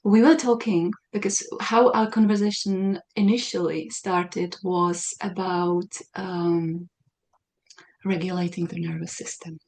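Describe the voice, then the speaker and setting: Animated woman host thinking aloud. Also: on the low side, measured pace. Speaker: podcast host. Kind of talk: casual conversation.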